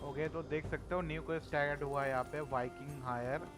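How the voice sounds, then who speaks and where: A man's voice: on the high side, fairly even intonation, racing, music in the background. A man giving casual gameplay commentary as a streamer. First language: Hindi. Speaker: gaming streamer.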